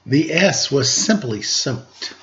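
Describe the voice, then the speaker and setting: A man reading a picture book aloud for storytime in a deliberate, theatrical way. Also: mid-range voice, expressive, fairly bright tone. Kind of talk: read-aloud storytime narration. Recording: dull lo-fi audio, faint background noise.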